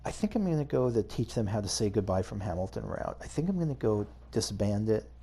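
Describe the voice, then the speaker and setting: A man's voice: on the low side, sing-song, brisk, gravelly, dark tone, breathy edge. A man giving casual video game playthrough commentary, hesitantly thinking aloud as he goes. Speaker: gaming video host.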